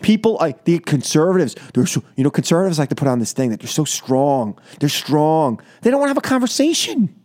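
High-pitched, animated American man, combative radio host. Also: fairly quick, few pauses. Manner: combative, emphatic, repeats phrases for effect. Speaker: political talk radio host. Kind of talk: opinionated call-in radio monologue.

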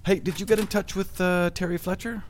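A man's voice: sits high, steady tone, fairly quick, a little hiss or hum, natural voice. An actor playing a vain, pushy male celebrity emcee in a satirical audio drama, cocky and teasing. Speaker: party emcee.

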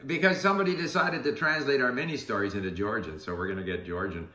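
A man's voice: medium pitch, quick pace, pushed and bright, echoey room. A man hosting a casual interview, asking curious follow-up questions. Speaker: interviewer, channel host.

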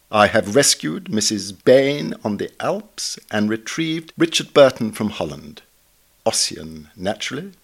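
A man reads a literary essay aloud from a script in a measured, formal, bookish manner. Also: medium pitch. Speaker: reader.

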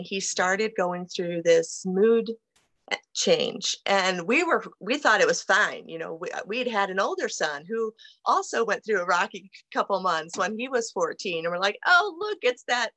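A middle-aged woman from central New York, a guest on a video call, telling a family story in an earnest, measured way. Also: mid-range voice, lively intonation, pushed and bright. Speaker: guest, author. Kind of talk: interview answers.

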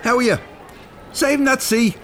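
A man's voice: extremely high pitch, moderate pace. An actor playing a chatty, nosy, thin-skinned older working-class Dublin man in a radio drama, rambling and familiar. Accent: Dublin Irish. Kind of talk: naturalistic radio drama acting. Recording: urban ambience, faint background noise. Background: shopping centre ambience.

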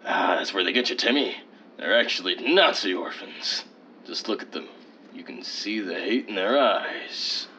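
An actor playing a folksy, cocksure, bloodthirsty war hero with hammy bravado in an old-time radio adventure parody. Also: male voice, deep voice, expressive, moderate pace, bright, forceful tone, slight background noise, low-quality recording. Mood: cocky, folksy.

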